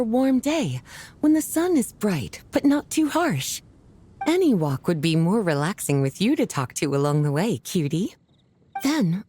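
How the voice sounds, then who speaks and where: Flirty game lady, husky n mellow, teasing, takes her time. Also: a little high, full character voice. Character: flirtatious, languid woman who loves cocktails. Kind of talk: video game voice acting. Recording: faint music behind the voice. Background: faint game music.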